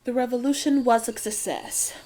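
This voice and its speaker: A woman playing an elderly, knowing witch in a dark fairy tale audiobook, speaking in measured, cryptic and solemn storytelling. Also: on the high side, fairly even intonation, slight background noise, lightly acted. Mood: grave recounting. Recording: music behind the voice. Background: music.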